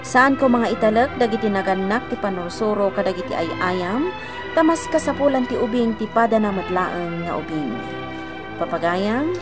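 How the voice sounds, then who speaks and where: A woman delivering scripted narration for a radio drama. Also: medium pitch. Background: music.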